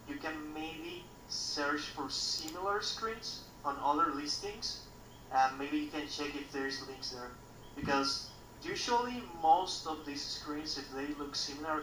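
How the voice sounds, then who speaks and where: Bright-voiced man offering tips, roomy. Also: on the high side, a little hiss or hum. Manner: helpful, suggests alternatives. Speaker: guest giving advice on stream. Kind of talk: casual tech advice. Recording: echoey room, distant mic.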